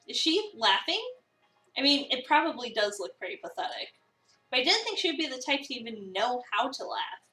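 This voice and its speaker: A woman reading a visual novel as an eager, awkward new cafe employee, chatty, expressive and self-deprecating. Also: sits high, moderate pace, pauses often, faint music behind, exaggerated voice. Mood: startled, self-deprecating. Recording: roomy, a bit far from the mic.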